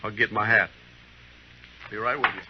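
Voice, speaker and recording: An actor playing a proud, resigned blacksmith in an old-time radio western, grave and plain-spoken. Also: male voice, fairly low pitch, steady tone, normal speed, lightly acted. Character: proud, resigned frontier blacksmith facing a fight. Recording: muffled, noisy vintage radio recording.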